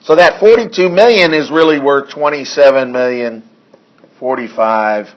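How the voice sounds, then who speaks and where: A hoarse male accounting instructor in a classroom lecture, reading figures deliberately and adding dry asides. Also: medium pitch, lo-fi sound. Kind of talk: classroom lecture working through problems.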